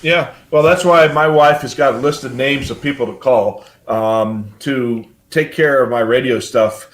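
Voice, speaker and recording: A man's voice: mid-range voice, unhurried. Older male, mid-range voice, casual live-show host, rambling, matter-of-fact explanations. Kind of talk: casual live hobby talk.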